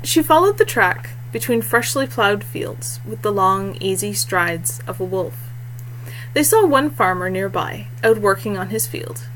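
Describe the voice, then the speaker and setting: A woman in her thirties reads her fiction aloud in an expressive storytelling style. Her voice sits at a medium pitch. It is a homemade recording in a small room, with faint noise underneath. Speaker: author reading own fiction. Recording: noisy home webcam recording with hum.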